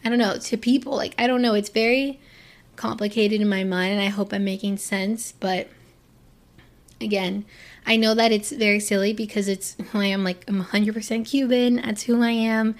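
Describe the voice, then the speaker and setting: A young woman from Florida talks straight to her viewers in a loose, rambling way. Her sentences circle back and restart, full of "like" and "you know." Her voice sits at an ordinary middle pitch. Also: fairly even intonation, normal speed. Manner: chatty, rambling, many "like" fillers and restarts. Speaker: vlogger. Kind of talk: casual personal talk to camera.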